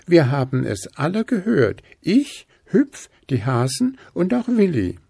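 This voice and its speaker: A man's voice: fairly high pitch, wildly swooping pitch, unhurried, gravelly, dark tone, slightly rough, no room sound, studio quality, voice pushed up, exaggerated voice. A man voicing a small, stubborn male hedgehog in a storybook, indignant and insistent.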